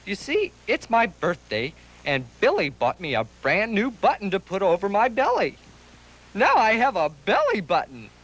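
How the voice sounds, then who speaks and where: A man voicing an excitable, button-obsessed puppet on a children's educational TV show, bubbly and full of exclamations. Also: high-pitched, moderate pace, heavily acted. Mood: gleeful, boastful.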